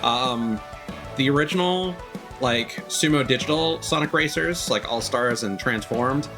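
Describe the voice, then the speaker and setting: A man's voice: mid-range voice, unhurried. A man doing casual, informal live commentary as a video game streamer. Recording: music playing behind the voice. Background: video game music.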